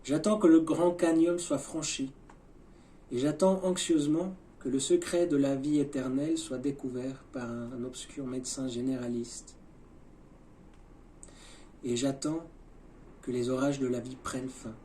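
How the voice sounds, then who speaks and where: A man gives a dramatic poetry recitation in a warm, relaxed timbre at an average male pitch. His phrasing is deliberate and incantatory, dropping quiet and then rising with emphasis. Faint ambient noise sits behind the voice.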